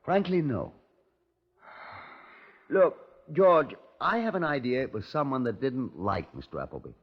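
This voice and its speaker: An actor playing a courteous, wry Scotland Yard inspector, narrating his case in a measured radio crime drama style. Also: medium pitch, very animated. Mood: calm, probing. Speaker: detective narrator. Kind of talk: 1950s radio crime drama, first-person narration and dialogue. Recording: dull, muffled 1950s radio recording.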